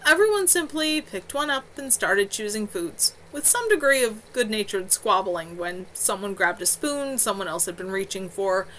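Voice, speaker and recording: A woman narrating a novel as its author, in an expressive storytelling style with animated dialogue. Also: medium pitch, moderate pace, few pauses, a little hiss or hum, rough recording. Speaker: author reading own novel. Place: at home on a live stream.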